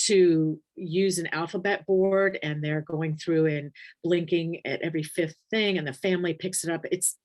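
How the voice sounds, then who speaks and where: A woman teaching an online nursing class in a very low, deep voice. She talks casually and thinks aloud, with plenty of ums, restarts and asides. Her pitch sits near the bottom of the usual range for women. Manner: warm conversational, many ums, thinks aloud. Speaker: palliative care nurse educator. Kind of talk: casual webinar teaching, reading chat questions.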